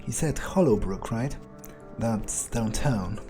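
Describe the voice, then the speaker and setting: A man's voice: on the low side, lively intonation, takes it slowly, dark tone. A man doing an in-character game playthrough, musing aloud with plenty of swearing and hesitant phrasing. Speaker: gamer playing in character. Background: game music.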